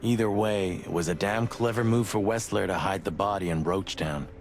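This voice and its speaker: A streetwise rooster cop sidekick, with a lowish voice. He is sardonic and needling but loyal, in a noir detective game with prominent music underneath. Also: normal speed. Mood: grim, bitter. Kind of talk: film noir game voice acting. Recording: music behind the voice.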